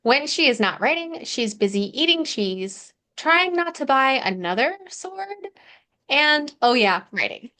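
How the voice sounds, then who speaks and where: A woman podcast host with a slightly high voice. She presents introductions in a scripted, orderly way and chats informally with her guest. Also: very animated, a little slow, pushed and bright. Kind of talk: scripted introduction and friendly interview chat.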